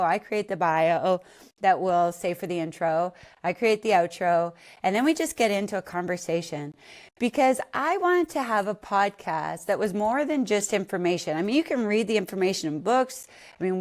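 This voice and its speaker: A middle-aged woman from Canada, hosting an unscripted solo podcast in a conversational way that drifts into tangents and corrects itself. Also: mid-range voice. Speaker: podcast host.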